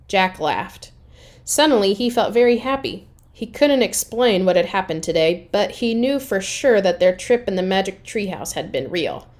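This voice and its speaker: Expressive woman reading a children's story. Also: mid-range voice. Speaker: reader of a children's chapter book. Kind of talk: expressive read-aloud storytelling.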